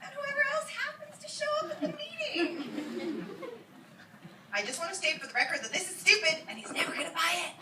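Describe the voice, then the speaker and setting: Awkward, flustered werewolf caller, played by a woman, comic live reading, far from the mic with clear noise. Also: very high-pitched, expressive, a little fast, crowd noise, pitched above the performer's own voice. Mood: flustered, grudging. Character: awkward, flustered werewolf making a phone call. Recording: roomy, noisy, distant old iPod recording.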